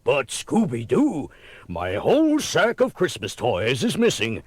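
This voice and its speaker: A man's voice: mid-range voice, wildly swooping pitch, unhurried, breathy edge, no echo, soft background music, full character voice. An actor playing a kindly, fretful old man, lamenting dramatically in a cartoon audio drama. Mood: distraught. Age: older.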